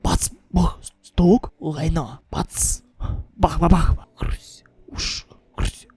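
A voice-over reader performing manga dialogue and sound effects in an expressive, dramatic amateur dubbing style. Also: mid-range voice, drawn-out words, slight background noise.

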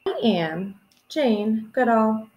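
A young woman reading a children's picture book aloud in an expressive, deliberate teacherly storytelling manner. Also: fairly high pitch, dull audio, a little hiss or hum. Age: young adult. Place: small room at home, indoors.

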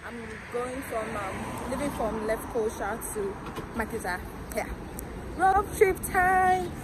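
A woman's voice: a little high, takes it slowly, noisy background. Chatty young woman vlogging on a bus. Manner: chatty, relaxed commentary to viewers. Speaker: travel vlogger. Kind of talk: casual travel vlog. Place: on a bus and by a roadside. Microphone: phone held at arm's length.

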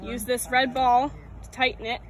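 A woman's voice: fairly high pitch, level tone, normal speed. A young woman sailing instructor giving a patient, step-by-step demonstration at a lake shore, naming each part as she goes, recorded on a phone close by. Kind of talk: outdoor how-to demonstration. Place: outdoors at a lake shore, standing in shallow water. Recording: outdoor phone recording with clear water and boat noise.

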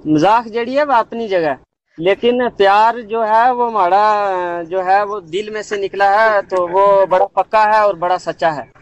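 A man with a Punjabi accent talking casually and flirtatiously in a halting, wheedling drawl on a recorded phone call. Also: sits high.

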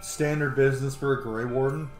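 A man's voice: mid-range voice, steady tone, fairly quick. A man in his thirties chats casually through a game, swearing freely as he reacts. His voice rings in a roomy space, with game music behind him. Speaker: game streamer. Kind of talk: casual gameplay commentary. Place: indoor room. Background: video game music.

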